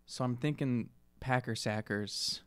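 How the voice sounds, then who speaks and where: A woman's voice: very deep voice, unhurried, clear, resonant tone, dry recording. A woman playing a tabletop game, improvising casual role-play in a conversational voice.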